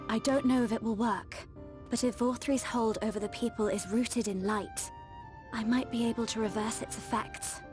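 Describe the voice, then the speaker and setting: Lady playing a sincere young heroine in a game cutscene, soft-hearted, highish voice, music behind. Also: fairly even intonation, normal speed, natural voice. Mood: tentative, hopeful. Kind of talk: video game cutscene acting.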